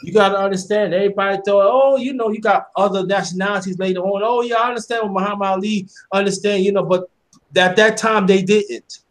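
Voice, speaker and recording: A man's voice: high voice, steady tone, quick pace. A man in his fifties hosting a casual interview, talking earnestly and often repeating words as he starts a thought. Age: 50s. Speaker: channel host interviewing a guest.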